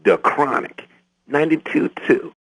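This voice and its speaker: A man's voice: on the high side, expressive, low-quality recording. A man playing a brash, hyped-up hip-hop fan calling a radio station, drawing out street slang. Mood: impressed. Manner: drawn-out, swooping street slang. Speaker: radio caller.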